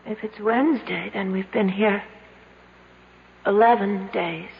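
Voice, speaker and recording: An actress in a radio drama playing a fretful, desperate young woman trapped in a dark cave. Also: mid-range voice, fairly even intonation, measured pace, gravelly, breathy edge, heavily acted. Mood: weary. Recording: muffled, noisy old radio recording.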